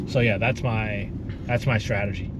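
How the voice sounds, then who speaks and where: A man's voice: fairly low pitch, fairly even intonation, normal speed, background noise. A man in his thirties chatting casually as a podcast co-host, speaking bluntly and swearing freely. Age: 30s.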